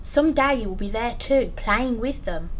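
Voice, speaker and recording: A woman acting a meek, kindly clerk and loving father in an audio play, gentle and deferential. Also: on the high side, animated, measured pace. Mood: tender. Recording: clear background noise, ambience.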